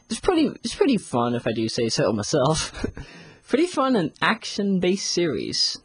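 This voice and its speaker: A casual reviewer with a husky voice and very animated pitch. The delivery rambles and circles back on its own phrases. The sound is dry, with little room in it. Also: no room sound. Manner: rambling, often repeats phrases to restart. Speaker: series reviewer. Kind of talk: casual spoken audio review.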